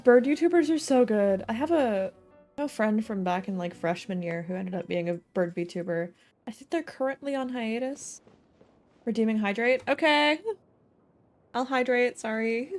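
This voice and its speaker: A woman's voice: a little high, normal speed, a little mellow. A woman in her twenties chatting casually as she streams a video game, with music behind her. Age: 20s. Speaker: live streamer. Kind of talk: casual gaming stream chat.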